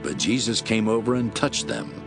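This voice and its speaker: A man's voice: fairly low pitch, expressive, normal speed, creaky. A man giving scripted narration of scripture as the narrator of an audio drama. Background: music.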